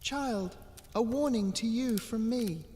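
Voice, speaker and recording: A man voicing a small, eerie wishing cat in a high, childlike, hushed voice, speaking in slow rhymes for a storybook tale. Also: in a large hall, heavy background noise. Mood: solemn, eerie. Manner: slow rhyming pronouncements.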